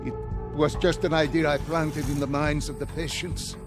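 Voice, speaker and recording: An actor playing a fearful, defensive doctor held captive, speaking haltingly and pleadingly in a video game. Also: male voice, a little high, level tone, lightly acted. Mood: nervously defensive. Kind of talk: cinematic video game acting. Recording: music behind the voice. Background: cinematic music.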